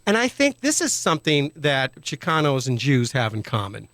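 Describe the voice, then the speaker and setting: A man's voice: medium pitch, huge pitch swings, moderate pace, fairly bright tone, no echo. A man hosting a radio talk show, interviewing a guest in an earnest, opinionated way. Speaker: radio host.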